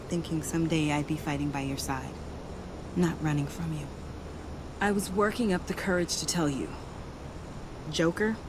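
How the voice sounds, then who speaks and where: Low-voiced, guilt-ridden young ally. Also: female voice, noisy background. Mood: confessing. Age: young adult. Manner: earnest, confiding, emotional. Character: earnest young woman, guilt-ridden but defiant former ally. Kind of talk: video game dramatic acting.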